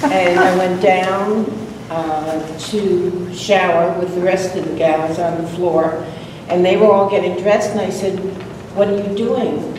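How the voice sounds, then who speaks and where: Elderly woman, unhurried reminiscence, picked up far from the mic in a noisy room. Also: fairly low pitch, steady tone. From Long Island, New York. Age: 80s. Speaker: college alumna, audience speaker. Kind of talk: personal reminiscence to an audience. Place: lecture room with seated audience. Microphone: distant camera microphone. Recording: echoey room, distant mic, clear noise.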